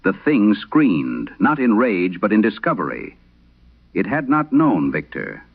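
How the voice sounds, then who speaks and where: A man performs an aging exorcist priest for a horror radio play, with a very low voice full of gravel. He confesses in grave, literary, measured phrases that swing widely in pitch, haunted and intense. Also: huge pitch swings, narrow, tinny audio, exaggerated voice. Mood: intense, dread-filled. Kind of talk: dramatic horror radio monologue.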